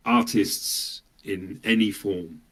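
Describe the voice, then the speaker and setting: Earnest middle-aged man, slightly low voice. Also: takes it slowly, a bit bright. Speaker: voice actor, podcast guest. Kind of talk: casual interview chat.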